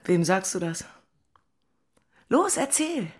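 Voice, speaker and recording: An emotional, moody woman in her forties in a radio drama. Her voice is husky and breathy, at a middle pitch, in intimate spoken dialogue. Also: sing-song, slow pace, full character voice. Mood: low, playful. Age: 40s. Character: emotional, moody single woman in love affair.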